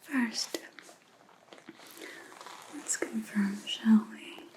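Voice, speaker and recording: A woman playing a menacing, coolly controlled vampire hunter in a whispered ASMR roleplay, slow, deliberate and threatening. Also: a little high, low-quality recording. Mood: cold, menacing.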